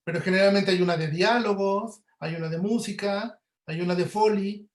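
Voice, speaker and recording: A high-pitched man's voice, recorded with plenty of room echo. He explains things to students in an informal webinar lecture, talking conversationally and often checking in with short tag questions. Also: normal speed. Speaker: audio producer giving a guest lecture. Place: indoor room, online webinar.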